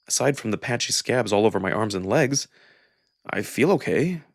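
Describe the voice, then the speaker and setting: A man giving an expressive, acted first-person narration of a visual novel. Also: fairly low pitch, normal speed, vocal fry, no room sound, clean studio sound. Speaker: narrator and voice actor.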